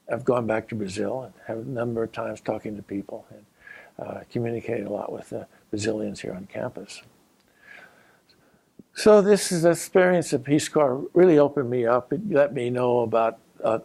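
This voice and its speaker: An older man from Colorado, a former Peace Corps volunteer and geography professor, recalling his experiences in an unhurried, halting way with many pauses. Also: medium pitch, gravelly, darkish tone, slightly rough, rough recording. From Colorado, USA. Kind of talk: reminiscing personal story.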